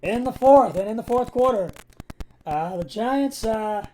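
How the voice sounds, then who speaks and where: A raspy-voiced man hosting a sports show, recapping a football game in a halting, hesitant way with frequent stumbles. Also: sits high, expressive, mellow, a little hiss or hum.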